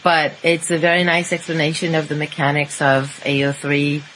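A woman's voice: low-pitched, completely flat delivery, normal speed, fairly bright tone, a little hiss or hum, rough recording. A woman co-hosting a fan podcast, presenting items in a conversational way. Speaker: podcast co-host.

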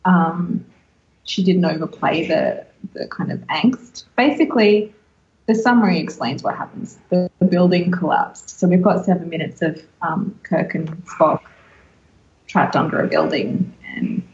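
Female podcast host, wry and casual, mid-to-higher everyday voice, slightly muffled lo-fi recording. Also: unhurried, a little mellow. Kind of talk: casual podcast chat, giving recommendations.

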